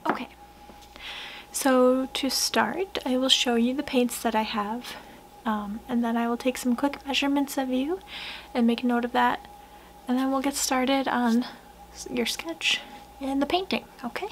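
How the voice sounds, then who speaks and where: A young woman speaks in a high, hushed voice at a very unhurried pace, as in an ASMR roleplay. Her gentle delivery often drops into a whisper. A noticeable low noise sits behind her. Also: steady tone, poor audio. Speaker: ASMR roleplayer playing a portrait painter. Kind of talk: soft-spoken ASMR roleplay. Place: small wood-panelled room indoors.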